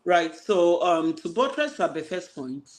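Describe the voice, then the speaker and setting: A man on an online panel, speaking in a relaxed, agreeable and measured way. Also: high-pitched. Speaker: panel guest. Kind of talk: casual panel chat.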